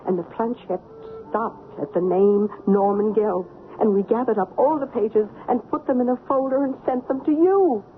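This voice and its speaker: A woman's voice: on the high side, moderate pace, few pauses, natural voice. Actress as a worried lady, crackly old radio. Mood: earnest. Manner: anxious, earnest, polite. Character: worried, earnest lady friend of a haunted playwright. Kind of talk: old-time radio drama acting. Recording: noisy, narrow-band old radio recording.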